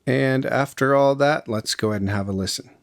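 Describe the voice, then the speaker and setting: A man narrating a casual, step-by-step music software tutorial in an informal, explanatory way. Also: medium pitch, fairly quick, gravelly, no room sound, clean studio sound. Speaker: music production tutorial host.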